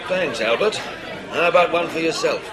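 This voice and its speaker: An actor playing a blunt, wry British intelligence chief in a radio spy drama, clipped and matter-of-fact, with colloquial phrasing. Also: male voice, a little high, animated, moderate pace, a loud crowd, heavy background noise, natural voice. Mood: dry, wry.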